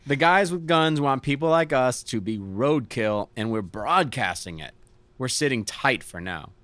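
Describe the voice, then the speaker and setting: A man's voice: medium pitch, animated, a little slow, fairly bright tone, no echo. A man playing a cocky, easygoing young rebel tinkerer in an audio drama, breezy and wisecracking. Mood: firm, tense.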